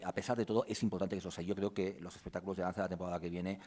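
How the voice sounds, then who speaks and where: A man's voice: low-pitched, warm tone. A man presenting a theatre season at a press conference, explaining at length with frequent restarts.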